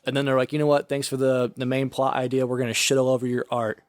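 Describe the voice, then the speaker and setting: A man's voice: mid-range voice, flat delivery, fast, no echo, clean studio sound. A man co-hosting a podcast in casual chat, wry and loose, dropping "bro" and "man.". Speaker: podcast co-host.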